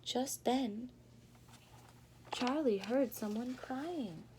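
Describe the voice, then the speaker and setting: A woman doing an expressive read-aloud for young children, pausing to put questions to them in a teacherly way. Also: on the high side, noisy background. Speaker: storyteller reading a picture book to children. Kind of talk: animated read-aloud with asides to young listeners.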